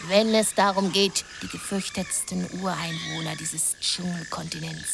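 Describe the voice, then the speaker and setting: A woman telling a lurid jungle expedition tale in a radio drama. Her voice sits low and turns theatrical, often sinking into whispers. Noisy ambience runs behind her. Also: flat delivery, moderate pace, gravelly. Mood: dramatic. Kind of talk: literary radio play narration.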